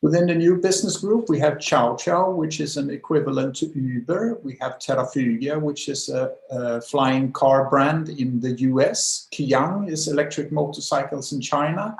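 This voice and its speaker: Casting: middle-aged man from Denmark, slightly higher voice with gravel, hesitant presenter on a video call. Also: normal speed, few pauses, reverberant, poor audio. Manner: hesitant, many fillers. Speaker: guest presenter, automotive designer.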